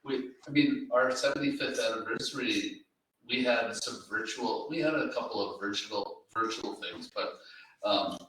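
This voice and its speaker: A man speaking informally as an editor at a public literary event, in a hesitant, halting way with false starts. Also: mid-range voice, a bit bright. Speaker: anthology editor and panel speaker. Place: reverberant library room, live event. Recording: very echoey room, distant mic, faint noise.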